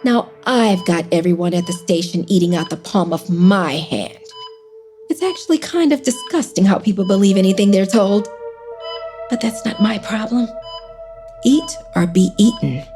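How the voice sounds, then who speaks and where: A woman's voice: medium pitch, lively intonation, a little slow, long pauses, mellow, faint music behind. A woman playing a smug, cruel schemer in an audio drama, drawling condescending, sugary taunts. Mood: mock-sweet gloating.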